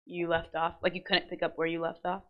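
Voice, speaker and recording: A woman's voice: fairly low pitch, completely flat delivery, a little fast, muffled recording. A young woman hosting a casual student interview, teasing her guest in a playful, giggly way. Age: young adult. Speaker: student host, teammate of the guest.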